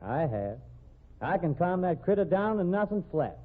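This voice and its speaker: A man's voice: sits high, sing-song, moderate pace. An actor playing a cocky young male rodeo cowboy in a 1940s radio drama, with an easy, confident drawl. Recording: tinny old radio broadcast.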